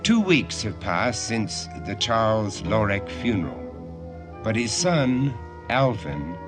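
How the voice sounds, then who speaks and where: A man narrates a radio drama as its host. His delivery is slow, solemn and scripted. Music plays clearly beneath his voice. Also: lowish voice, very animated. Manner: solemn, measured storytelling. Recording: music under the voice.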